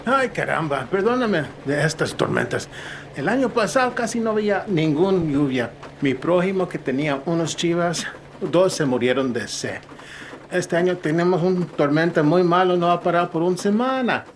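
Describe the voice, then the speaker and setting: A man acts a folksy rural innkeeper in an audio drama, welcoming but given to fretting and complaint. His talkative voice is a bit high for a man, with clear ambient noise behind it. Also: expressive, brisk, natural voice. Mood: fretful, chatty.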